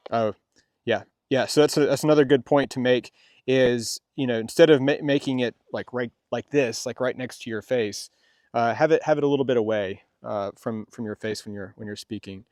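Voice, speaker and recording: A middle-aged man hosting a casual product review, talking in a halting, rambling way with repeated phrases. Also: mid-range voice, a little mellow, dry recording, clean studio sound. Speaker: host of a product review vlog. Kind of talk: casual unscripted product demonstration.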